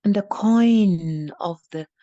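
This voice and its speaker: A woman leading a channeled guided meditation, speaking solemnly in halting, deliberate, repetitive phrases that often soften toward a breathy whisper. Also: mid-range voice, no echo, muffled recording.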